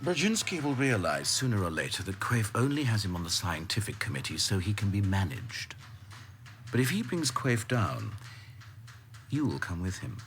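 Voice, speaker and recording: An actor playing a sober, rule-bound senior civil servant, formal and deliberate, in a radio drama. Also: male voice, on the low side, very animated, fairly bright tone, soft background music. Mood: reproving. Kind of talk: naturalistic radio drama acting.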